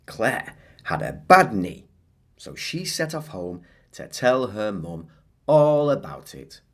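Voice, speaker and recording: A woman reading a children's story aloud in an expressive, deliberate way. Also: very deep voice.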